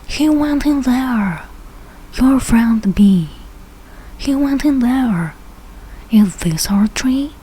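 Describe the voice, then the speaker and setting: A woman reading a rhyming children's storybook aloud in short, deliberate phrases with pauses. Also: a little high, expressive, mellow, breathy edge, rough recording. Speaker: read-aloud reader learning English.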